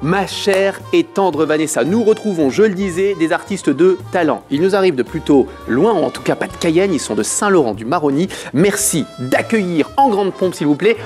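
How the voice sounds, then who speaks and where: A man hosts a talk show with a fairly high voice. He is playful and teasing, with a theatrical touch to his delivery. Music plays behind him. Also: lively intonation, normal speed, few pauses, darkish tone. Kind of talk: lively chat show presenting. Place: television studio set.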